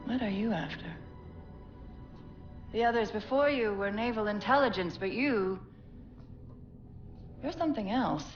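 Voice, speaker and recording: An actress playing a proud military scientist under interrogation in a game cutscene, cool, precise and unapologetic. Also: female voice, medium pitch, measured pace, pauses often, highs cut off, close to an everyday voice. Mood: guarded, suspicious. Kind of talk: dramatic video game cutscene acting. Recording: echoey room with music behind.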